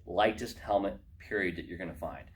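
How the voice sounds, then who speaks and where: A man's voice: deep voice, brisk, slight background noise. A man giving a casual, unscripted product walkthrough as a racing driver, talking informally and slangily straight to his viewers.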